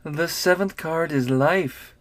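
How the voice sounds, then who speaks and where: A mocking, sinister sorcerer from a dark fantasy tale, acted out by a male reader. The voice is raised well above a normal man's pitch and lilts theatrically. Phrases are drawn out with taunting relish. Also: slow. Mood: hushed, solemn.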